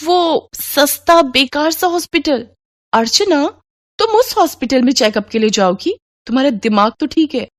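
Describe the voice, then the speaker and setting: A woman's voice: sits high, lively intonation, normal speed, no room sound, clean studio sound, pitched above the performer's own voice. A woman voicing a snobbish middle-aged mother who lectures critically in a dramatized moral story. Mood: scornful. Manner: disdainful, lecturing.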